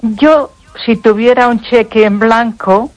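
A woman's voice: fairly high pitch, slow pace, darkish tone, lo-fi sound. An older woman with an accent from Spain, a radio caller chatting casually and sometimes searching for words. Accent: Spanish (Spain). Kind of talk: casual chat.

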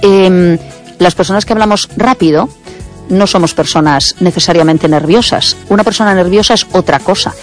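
A veteran woman radio host, speaking quickly and insistently with many fillers in a radio conversation. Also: fairly low pitch, soft background music.

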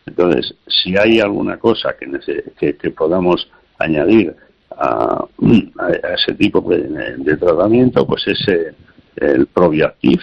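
Man, radio health advisor, gravelly, hesitant with fillers, dull lo-fi sound. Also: deep voice, lively intonation, slow. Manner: hesitant, repeats words, many fillers. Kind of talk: radio call-in advice.

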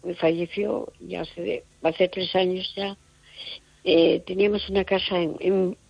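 Gravelly older woman on a muffled phone line. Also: lowish voice, little pitch movement, a little slow, dry recording. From Madrid, Spain. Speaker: radio phone-in caller. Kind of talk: casual chat. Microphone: telephone. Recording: dull, muffled call-in line.

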